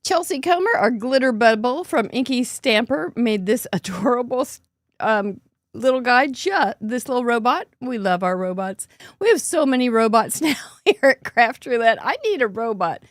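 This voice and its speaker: A hoarse woman in middle age hosts a casual show-and-tell with a chatty, upbeat manner and frequent laughs. Her voice sits a little high. She is recorded close up with a dry sound. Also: animated, normal speed, no room sound. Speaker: host.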